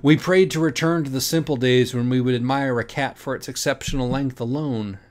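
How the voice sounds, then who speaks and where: Guy narrating a weird audiobook, mid-pitched voice, super earnest and deliberate about it. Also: steady tone. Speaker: audiobook reader. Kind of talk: dramatic scripted narration of a parody children's book.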